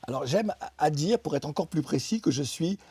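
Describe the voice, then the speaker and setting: A middle-aged man from France, a guest in an interview, speaking emphatically about politics with many pauses and restarts. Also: on the high side, expressive, warm tone, husky, no room sound. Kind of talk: impassioned political interview talk.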